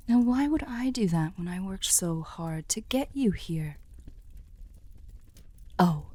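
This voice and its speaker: Woman doing a sly demon lady for asmr, lowish soft voice, breathy whispery bits, super close and dry, teasing and formal. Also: dry recording. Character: teasing, sly female demon offering a soul contract. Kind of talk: scripted ASMR roleplay.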